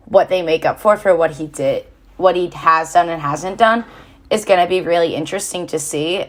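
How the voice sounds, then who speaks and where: Low-pitched American teenage girl, considered. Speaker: student guest. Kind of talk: casual panel discussion.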